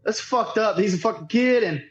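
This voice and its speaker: Through dull, lo-fi audio comes a man with a notably high voice. He talks as an interview guest, rambling casually through a story. His speech is peppered with swearing and quoted remarks. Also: expressive, moderate pace. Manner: profane, rambling, quotes others. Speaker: podcast guest, cornhole player.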